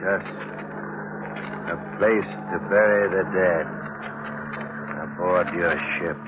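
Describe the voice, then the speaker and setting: An ominous, knowing stranger in an old radio thriller. His voice is lowish and very unhurried, cryptic in manner, on a muffled vintage recording. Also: fairly even intonation, music in the background. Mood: ominous, calm. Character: mysterious, all-knowing stranger questioning a survivor. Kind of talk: 1940s radio mystery acting.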